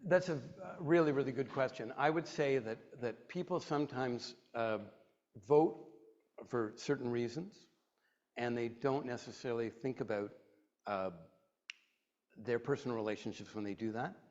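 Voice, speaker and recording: A man's voice: mid-range voice, moderate pace, darkish tone. Middle-aged man, emphatic rallying speech, rhythmic lists, muffled lo-fi sound. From Quebec, Canada. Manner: rousing, emphatic, rhetorical lists. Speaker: advocacy group president giving a speech. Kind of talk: prepared public speech, then answering questions. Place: library event room. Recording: dull lo-fi recording.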